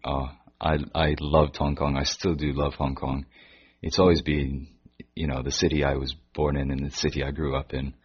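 A man from Canada with a Canadian accent that keeps traces of British, answering interview questions in a hesitant way with frequent pauses. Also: very deep voice, flat delivery, husky. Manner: hesitant, pauses and restarts often. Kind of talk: casual interview chat. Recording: dull lo-fi recording.

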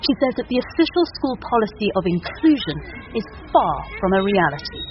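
A woman reporting for radio news in a measured, even narration. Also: medium pitch, highs cut off.

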